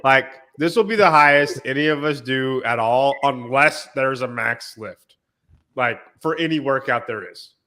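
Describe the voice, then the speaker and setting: Male podcast host, bright tone, stuffy nasal cold, joking coach energy. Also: mid-range voice, measured pace. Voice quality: slightly nasal. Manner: teasing, coach-like. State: congested from a cold. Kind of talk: casual chat.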